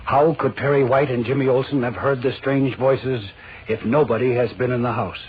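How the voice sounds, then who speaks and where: A man's voice: medium pitch, fairly even intonation, normal speed. An American man narrating a 1940s radio serial in a suspenseful, theatrical style. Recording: muffled, faintly noisy old radio.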